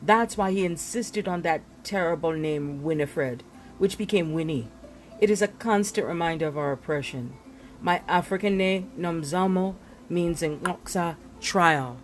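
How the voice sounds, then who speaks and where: A woman reading glossary entries aloud from a book in an expressive style, giving headwords deliberate, dramatic emphasis. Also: on the low side, a little hiss or hum. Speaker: reader of a book.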